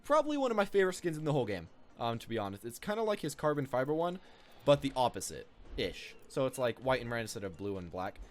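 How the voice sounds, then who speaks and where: Fast, animated young-sounding male game commentator. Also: medium pitch. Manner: rambling, informal. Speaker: gaming video host. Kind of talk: casual unscripted video commentary on game cosmetics.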